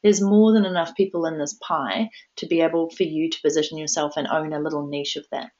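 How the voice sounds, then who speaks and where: A woman co-hosting a live webinar Q&A, upbeat and quick to laugh. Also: low-pitched, fairly even intonation, darkish tone. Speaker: webinar co-presenter. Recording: dull lo-fi webinar audio.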